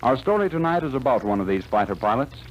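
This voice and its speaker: A man's voice: mid-range voice, very animated, quick pace, dull audio, slight background noise. A man narrating a 1940s radio drama in a dramatic, announcer-like manner.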